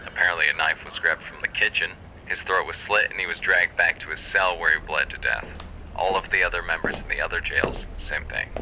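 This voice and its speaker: An actor playing a blunt, practical police colleague in an audio drama, grim, clipped and matter-of-fact. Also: male voice, deep voice, fairly even intonation, fairly quick, bright, forceful tone, muffled recording, slight background noise, full character voice.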